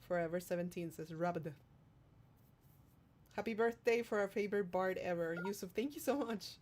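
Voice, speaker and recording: A woman's voice: fairly low pitch, moderate pace, a little mellow, a little hiss or hum. A woman hosting a livestream, reading out viewer comments and chatting casually in a playful, affectionate way. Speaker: streamer hosting a livestream, singer-songwriter.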